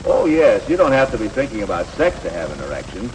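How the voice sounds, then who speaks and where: Animated, reassuring 1950s American male instructor. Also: lowish voice, fast. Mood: frank, reassuring. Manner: plain-spoken, explanatory, reassuring. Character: patient, matter-of-fact male coach teaching adolescent boys. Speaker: instructor of teenage boys. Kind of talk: 1950s educational film acting. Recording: noisy, reverberant 1950s film soundtrack.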